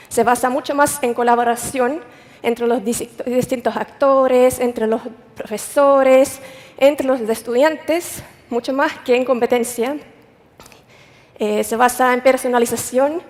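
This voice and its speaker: Woman giving a conference talk, higher voice, careful, lots of restarts, echoey big hall. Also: darkish tone. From Finland. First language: Finnish. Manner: careful, with frequent restarts and hesitations. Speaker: conference speaker.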